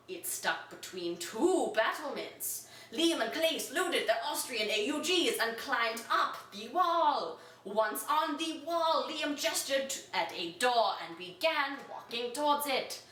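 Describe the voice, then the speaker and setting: Female amateur story reader, high voice, mock-dramatic delivery, roomy echoing sound. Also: pushed and bright. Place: echoey room, away from the mic. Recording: roomy echo, distant from microphone.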